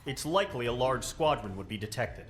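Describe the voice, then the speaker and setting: An actor playing a stern, businesslike military officer who delivers a formal, measured mission briefing in a game. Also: male voice, mid-range voice, expressive, natural voice. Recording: music under the voice.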